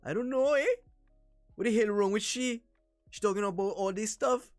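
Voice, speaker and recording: A man's voice: high voice, moderate pace, no echo, soft background music, pitched above the performer's own voice, natural voice. A man voicing a gossipy, exasperated man with a lilting Trinidadian accent. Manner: lilting, exclamatory.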